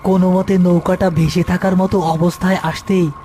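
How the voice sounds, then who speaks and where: High-voiced man narrating a horror tale. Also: little pitch movement, normal speed, dark tone, soft background music.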